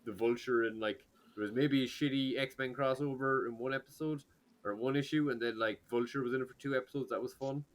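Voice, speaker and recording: A man's voice: medium pitch, steady tone, brisk. A man with an Irish accent, chatting loosely on a podcast with lots of fillers and restarts. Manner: rambling, hesitant, with many fillers. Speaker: podcast co-host. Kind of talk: casual chat.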